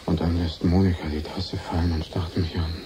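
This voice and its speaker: An actor playing a tormented painter in a radio drama, speaking in halting, anguished phrases. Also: male voice, low-pitched, flat delivery, mellow, close to an everyday voice. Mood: haunted, dread. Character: tormented, haunted male painter plagued by nightmares. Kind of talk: 1980s German radio drama acting. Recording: clear background noise.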